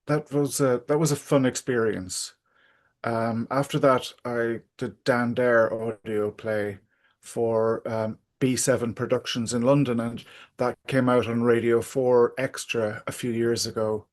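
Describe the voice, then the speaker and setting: A middle-aged or older man, talking casually and reflectively as an interview guest, pausing often to think aloud. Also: mid-range voice, fairly even intonation.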